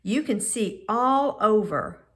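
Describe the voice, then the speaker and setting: A woman's voice: medium pitch, very animated, takes it slowly, fairly bright tone, full character voice. Woman reading as a friendly, bold storybook girl. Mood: inviting. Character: Annie, friendly, bold young neighbor girl. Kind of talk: storybook character dialogue in read-aloud.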